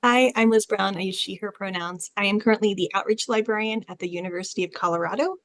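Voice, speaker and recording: A woman speaks as a presenter on an online panel in an informal, conversational style. Her voice sits at a middle pitch. The sound is close and dry, with very little room on it. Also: fast talker, dry recording.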